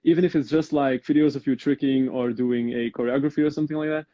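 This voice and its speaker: A man's voice: mid-range voice, fairly even intonation, fast talker, no room sound, highs cut off. A young man from Belgium hosting a podcast interview over a video call, hesitant, filling with um and like. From Belgium, Antwerp. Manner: hesitant, fills with "um" and "like". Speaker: podcast host. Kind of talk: casual interview.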